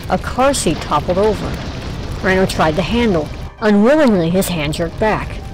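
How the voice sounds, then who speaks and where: A woman's voice: mid-range voice, animated, moderate pace, over music, poor audio. A woman reading scripted narration for an audio drama.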